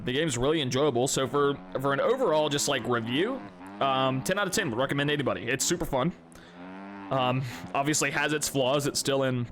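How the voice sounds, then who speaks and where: A man's voice: mid-range voice, slight background noise. A young man casually commenting on his own game replays, congested and sniffling, rambling with lots of fillers and restarts. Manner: rambling, many fillers and restarts, relaxed swearing. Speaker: gaming youtuber.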